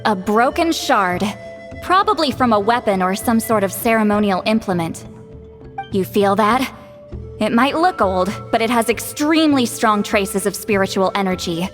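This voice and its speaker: A woman voicing a brisk, no-nonsense granny of a tribe, sharp but caring, in a video game. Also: medium pitch, lively intonation, natural voice. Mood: matter-of-fact. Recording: soft game music behind the voice.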